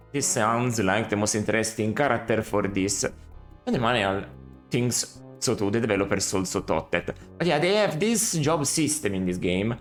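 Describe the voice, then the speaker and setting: A man streaming a retro game, chatting casually and musing aloud, often correcting himself and adding critical asides. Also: medium pitch.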